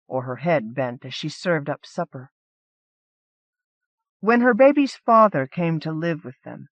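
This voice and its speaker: A woman's voice: deep voice, no echo. A woman reading literary fantasy fiction aloud as a scripted audiobook narration. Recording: dull lo-fi cassette audiobook transfer.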